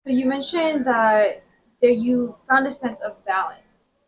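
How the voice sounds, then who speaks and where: A woman interviewer asking questions in a casual, slightly hesitant, halting way. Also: fairly high pitch. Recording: dull lo-fi sound with faint noise.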